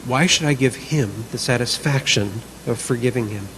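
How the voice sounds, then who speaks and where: A man's voice: medium pitch, moderate pace, fairly bright tone, lightly acted. Male reader as aggrieved, obsessive man in a staged monologue, middle register, noisy live recording. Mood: stubborn grudge. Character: bitter, sleepless man brooding over a feud with his neighbour. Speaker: reader in a church service skit. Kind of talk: dramatic monologue reading.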